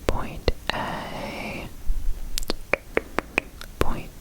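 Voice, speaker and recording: A woman whispering softly and breathily in an ASMR roleplay, giving gentle, reassuring step-by-step instructions as an examiner. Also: drawn-out words, low-quality recording. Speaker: ASMR performer playing an examiner.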